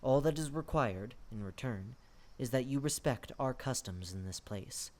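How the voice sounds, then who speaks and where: A performer voicing a commanding, gracious fae monarch in a formal, measured radio-play manner. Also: close to an everyday voice. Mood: quiet, gentle. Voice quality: deep.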